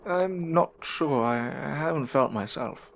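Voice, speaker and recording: A man playing a troubled, earnest gentleman in a period audio drama, speaking formally and hesitantly. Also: fairly high pitch, a little slow, gravelly. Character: troubled, earnest gentleman haunted by newfound powers. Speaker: voice actor and narrator. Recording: noisy amateur audio drama recording.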